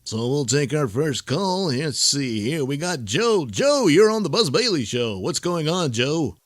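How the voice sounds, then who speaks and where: Man as a lively, breezy call-in radio host. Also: on the high side, a little slow, dry recording, clean studio sound. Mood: upbeat. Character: chipper, unflappable male radio call-in show host. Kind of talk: talk radio parody.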